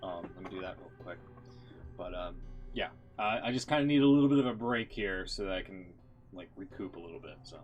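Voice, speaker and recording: A man with a low voice gives casual livestream commentary. He is a little under the weather, and music plays clearly behind him. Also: lively intonation, fairly quick, highs cut off. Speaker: live streamer.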